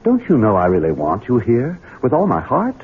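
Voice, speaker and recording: An actor playing a resentful, devious man in a radio drama, excitable and falsely sweet. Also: mid-range voice, normal speed, slightly rough, exaggerated voice. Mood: smoothly devious. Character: resentful, scheming Southern husband and coldly devious son. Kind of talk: 1970s radio drama acting. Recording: muffled, narrow-band old radio recording.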